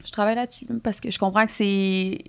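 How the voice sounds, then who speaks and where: A woman's voice: mid-range voice, fairly even intonation, fast talker, smooth. A woman with a Quebec French accent and a mid-range voice co-hosts a casual podcast. Her talk is informal and slangy, with English words slipped in. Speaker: podcast co-host. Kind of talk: casual chat.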